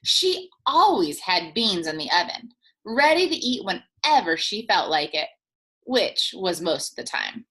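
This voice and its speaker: A woman teacher reading a children's storybook aloud in an animated way, drawing words out for emphasis. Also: on the low side, unhurried, husky.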